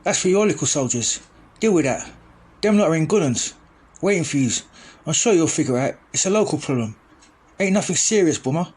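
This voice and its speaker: Man doing a high, loud street dealer. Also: lively intonation, a little fast. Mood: scolding. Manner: rough street slang, scolding. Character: brash young male London street dealer, pushy and threatening.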